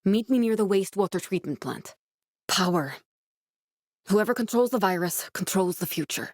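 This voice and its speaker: Game role: guarded, earnest woman scientist played by an actress, medium pitch, naturalistic measured delivery, studio-dry sound. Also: animated, a little mellow, dry recording, natural voice. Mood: focused, intent. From born in Norway, raised in Glasgow (character). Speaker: video game character voice. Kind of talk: naturalistic video game dialogue acting.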